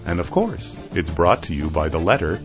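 A man's voice: low voice, very animated, soft background music. A man from Canada giving a wry, playful scripted radio narration. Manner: wry, playful, slow and deliberate. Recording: dull lo-fi radio broadcast.

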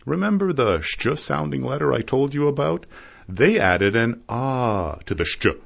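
A man's voice: medium pitch, lively intonation, gravelly. Man from Canada, wry scripted radio narration, dull lo-fi broadcast sound. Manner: wry, playful, slow and deliberate.

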